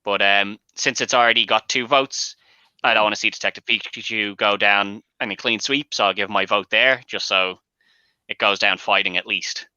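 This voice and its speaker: A man's voice: fairly low pitch, steady tone, moderate pace, bright, forceful tone, clear, resonant tone, no echo, dull audio. A man debating films casually on a show, making emphatic, argumentative points with some swearing. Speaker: panelist and host of a movie debate show.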